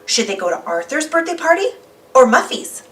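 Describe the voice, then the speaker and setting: A woman reading a children's story aloud as an animated narrator. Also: high-pitched, normal speed, far from the mic. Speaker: storybook reader.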